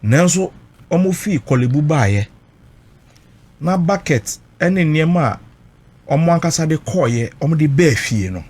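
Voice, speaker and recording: A man's voice: fairly high pitch, lively intonation, unhurried, pauses often, a little mellow, breathy edge. A Ghanaian man recounting personal experience emotionally, mixing Twi and English, emphatic and dramatic, repeating phrases for weight.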